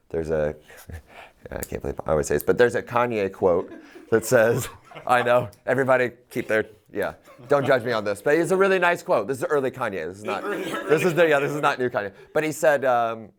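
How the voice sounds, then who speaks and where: A man's voice: medium pitch, lively intonation, a little fast. A man as a guest in a casual interview, speaking reflectively and candidly, laughing at himself now and then.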